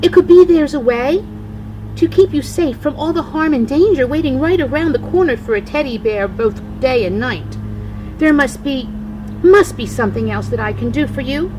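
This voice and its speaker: Grandmother storyteller: older woman, slightly high pitch, deliberate and emphatic read-aloud, some background noise. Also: lively intonation, darkish tone, poor audio.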